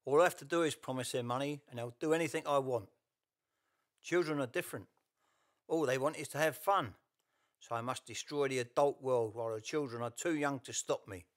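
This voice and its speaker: A man's voice: medium pitch, fairly quick, lightly acted. A man playing an evil, gloating ghost overlord in a radio play. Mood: scheming. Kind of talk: radio play villain acting.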